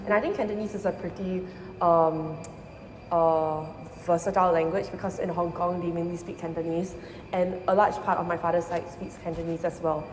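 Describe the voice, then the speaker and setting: A young woman from Singapore, a design student, giving thoughtful, measured interview answers. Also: on the low side, steady tone, a little hiss or hum. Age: young adult. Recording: roomy hall with faint ambience.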